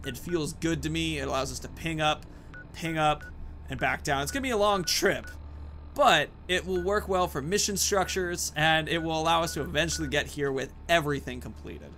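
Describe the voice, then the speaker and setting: A man's voice: on the high side, pushed and bright, slight background noise. A man hosting a casual let's play, informal and thinking aloud as he goes. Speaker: gaming video host.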